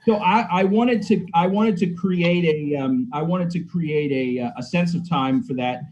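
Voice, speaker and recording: A man's voice: on the high side, moderate pace, darkish tone, muffled recording. A man discussing his own writing casually on a video call, hesitant and often repeating his phrases. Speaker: playwright. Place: indoor room, video call. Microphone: computer mic on video call.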